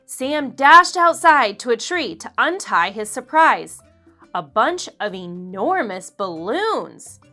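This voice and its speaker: A woman reading a children's story aloud in an expressive, deliberate storytelling manner. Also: a little high, soft background music. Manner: expressive, deliberate, acts out dialogue. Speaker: children's book narrator. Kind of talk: read-aloud storytelling for kids.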